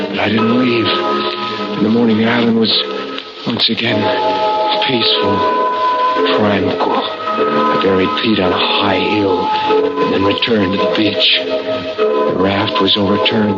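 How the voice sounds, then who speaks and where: Casting: man as haunted shipwreck survivor, low slow delivery, muffled vintage radio recording with loud music. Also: close to an everyday voice. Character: shipwrecked young lieutenant, haunted, exhausted survivor. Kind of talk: 1940s radio acting, first-person narration.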